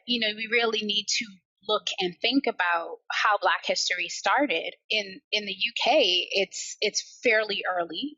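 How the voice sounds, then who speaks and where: A woman's voice: mid-range voice, sharp tone, slightly rough, no room sound, dull audio. A woman with an American accent talking passionately and chattily about personal reflections as a podcast guest. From Barbados and the US. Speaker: podcast guest, account manager.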